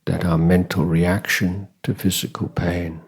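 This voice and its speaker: Slow, husky, deep-voiced male meditation teacher. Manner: calm, measured, gentle instruction with long pauses. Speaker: Buddhist monk, meditation teacher. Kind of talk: guided meditation dharma talk.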